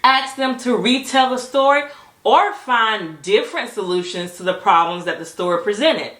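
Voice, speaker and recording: A woman giving instructional talk to fellow educators as a teacher and presenter, phrasing things emphatically and deliberately with rhetorical questions. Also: medium pitch, lively intonation, a bit bright, roomy sound.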